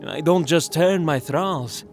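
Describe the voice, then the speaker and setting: A man voicing an ageless, mocking vampire lord in an audio drama, taunting slowly and theatrically. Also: on the high side. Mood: disdainful. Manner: silky, taunting, slow and theatrical. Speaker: villain. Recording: music score behind the voice.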